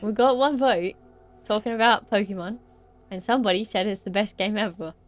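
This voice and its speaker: A woman's voice: medium pitch, fairly quick. A woman co-hosting a casual gaming podcast, chiming in with short, dry remarks. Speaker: podcast co-host. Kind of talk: casual chat.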